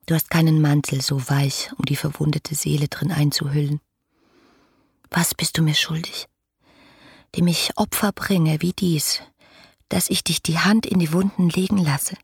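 A fervent young romantic reciting letters in a radio drama. Her voice is husky and lowish, lyrical and intimate, with some music in the recording. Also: darkish tone, exaggerated voice. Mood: anguished. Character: passionate, dreamy young romantic woman writing letters. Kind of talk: German radio play, literary letter reading.

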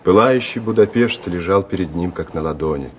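A man narrating a dramatic literary story for radio, measured and expressive. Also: sits low, slight background noise. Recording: muffled old radio recording, faint music.

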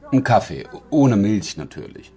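An actor playing a dry, stubborn middle-aged police detective in a naturalistic radio crime drama, brusque and sardonic. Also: male voice, fairly low pitch, sing-song, measured pace, dark tone, faint music behind. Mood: dry, wry.

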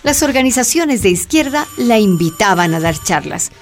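A woman narrating a radio drama in a measured, solemn way. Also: medium pitch, big pitch swings, soft background music.

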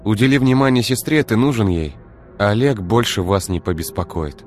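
A man voicing a fierce, sharp-tongued young woman who shrieks when angry. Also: moderate pace, soft background music, lightly acted. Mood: tense. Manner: shrill, shrieking when enraged.

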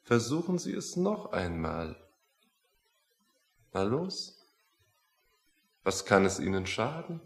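A stubborn, plain-spoken man in a radio play. His voice sits fairly low and swings widely in pitch. He speaks slowly and deliberately, with courteous, pointed phrasing. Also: sing-song, heavily acted. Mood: matter-of-fact. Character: stubborn, unintimidated male petitioner, plain-spoken and philosophical. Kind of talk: German radio play acting.

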